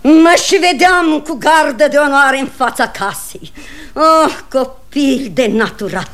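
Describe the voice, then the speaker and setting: A woman's voice: high-pitched, fairly bright tone. A woman playing a nosy, vain old grandmother in a classic Romanian radio comedy, indignant, exclamatory and full of prying questions. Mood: disappointed, indignant. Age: older.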